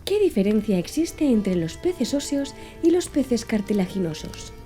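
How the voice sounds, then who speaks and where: A woman's voice: a little high, very animated, a little slow, dark tone, faint music behind. A woman voicing an earnest, pedantic man who lectures with didactic precision in an audiobook. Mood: didactic, patient. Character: Conseil, earnest, pedantic man reciting fish classifications. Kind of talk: audiobook character dialogue.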